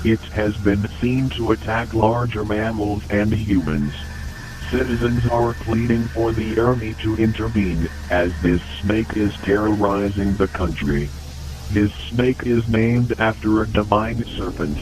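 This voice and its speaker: A lowish-voiced, grave emergency announcer played by a man delivers a scripted horror news bulletin. The delivery is formal, measured and deadpan. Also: close to an everyday voice, low-quality recording.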